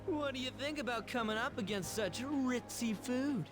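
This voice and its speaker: A man's voice: very high voice, moderate pace. A man voicing a brash, hot-headed but friendly teenage boy in a video game, slangy and blunt. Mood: amused. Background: game music.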